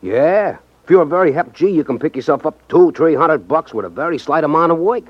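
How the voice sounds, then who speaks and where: An American actor playing a sly, slangy small-time card sharp with a cagey, streetwise manner in a 1950s radio drama. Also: male voice, on the high side, lively intonation, no echo. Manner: streetwise, cagey, hesitant drawn-out phrases. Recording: muffled 1950s radio recording.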